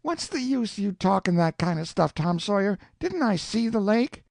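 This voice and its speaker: A man's voice: high voice, very animated, normal speed, gravelly, a little mellow, no echo, clean studio sound, pitched above the performer's own voice, heavily acted. A man voicing a stubborn, indignant country boy in rustic dialect.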